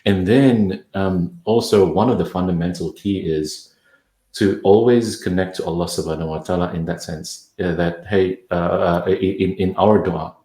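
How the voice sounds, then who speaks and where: A very deep-voiced man from Indonesia speaks as a parenting coach in a podcast interview. He talks thoughtfully, often restarting and repeating words. The room gives his voice a noticeable echo. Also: steady tone, moderate pace, dark tone.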